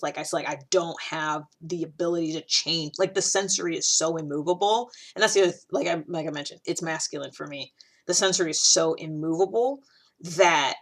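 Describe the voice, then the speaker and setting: A woman's voice: fairly low pitch, fairly bright tone. A woman in her thirties from Ohio, talking casually on her podcast in a rambling way, full of restarts and fillers. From Ohio, USA. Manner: chatty, heavy use of "like", frequent restarts. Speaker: podcast host. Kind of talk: casual personal monologue.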